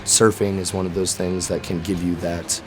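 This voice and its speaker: A man from Florida, a military veteran, telling his own story in an earnest, steady way. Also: low-pitched, normal speed, over music. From Florida, USA. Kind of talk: personal testimonial.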